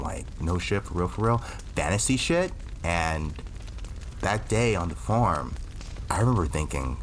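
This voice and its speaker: A man's voice: lowish voice, a little hiss or hum, lightly acted. A young male actor playing an insecure youth who rambles, swears and frets about whether he is special. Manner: anxious, rambling, swearing, animated. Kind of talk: audio drama acting.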